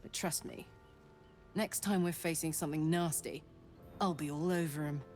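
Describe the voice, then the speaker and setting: A woman's voice: sits low, steady tone, moderate pace, darkish tone, faint music behind, close to an everyday voice. A woman playing a fiery, brash warrior in a fantasy video game, with slangy, informal delivery. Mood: casual, eager. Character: fiery, brash female devil-hunted warrior with an engine heart. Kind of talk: dramatic fantasy game acting.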